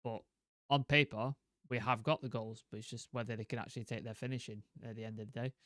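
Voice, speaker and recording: A man's voice: medium pitch, fairly even intonation, fast talker, a bit bright, no echo, studio quality. A man talking as a football podcast panelist, rambling on in long strung-together clauses. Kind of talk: casual football chat.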